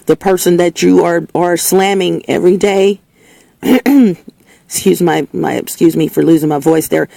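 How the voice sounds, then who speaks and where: Gravelly, emphatic woman host, slightly hoarse. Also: on the low side, a little slow, mellow, no room sound. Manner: emphatic, preachy, repeats herself with frequent restarts. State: slightly hoarse, voice lower than usual. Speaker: host of a spoken opinion segment. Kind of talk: casual opinionated monologue. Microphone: speaking into a recording setup with a level meter.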